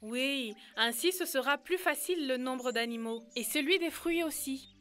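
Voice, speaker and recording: High-pitched, earnest cartoon girl. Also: normal speed, fairly bright tone. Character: helpful, bossy young girl organiser, earnest. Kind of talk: cartoon dub acting.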